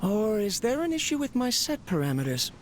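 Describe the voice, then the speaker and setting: An actor playing a lonely young researcher who gently talks to his robot, as if thinking aloud. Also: high voice, big pitch swings, fairly quick, very noisy. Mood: musing. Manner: gentle, musing to himself. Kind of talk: video game voice acting.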